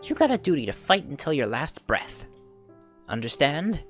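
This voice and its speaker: A man voicing a fierce, foul-mouthed tiny old grandmother who scolds loudly and threatens roughly. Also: sits low, sing-song, normal speed, faint music behind, voice pushed up. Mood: stern. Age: older.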